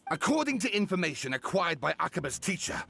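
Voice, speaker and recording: A theatrical, eager writer. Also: male voice, fairly high pitch, big pitch swings, fast talker, vocal fry, pushed and bright, full character voice. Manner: dramatic, showmanlike. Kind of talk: game voice acting.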